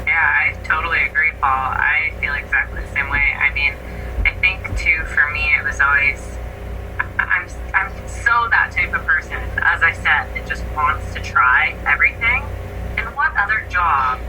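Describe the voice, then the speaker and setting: A woman's voice: low-pitched, normal speed. A woman speaking enthusiastically and casually as an actor being interviewed. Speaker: guest actor. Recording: distant mic with clear background noise.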